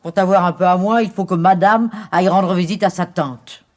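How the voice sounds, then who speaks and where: An actress playing a fussing, reproachful sister of about sixty in a French radio play. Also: female voice, lowish voice, fairly even intonation, moderate pace, close to an everyday voice. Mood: bitter. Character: nagging, possessive sixty-year-old older sister.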